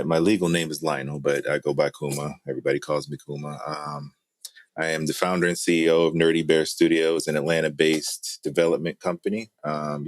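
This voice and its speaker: A man with a low-pitched voice talks casually as a show guest. He sounds conversational and informal, and the recording is dry with almost no room sound. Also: dry recording. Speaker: guest, game studio founder. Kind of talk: casual chat.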